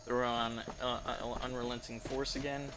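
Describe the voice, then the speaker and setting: A man giving casual, halting video game commentary as he thinks aloud. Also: medium pitch, flat delivery, pushed and bright, a little hiss or hum.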